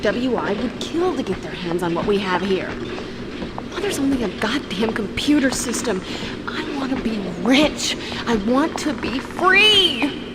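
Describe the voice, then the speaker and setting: An actress playing an ambitious, impatient young crime heiress in a video game, brash and defiant. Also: female voice, big pitch swings, measured pace. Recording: loud machine rumble behind voice.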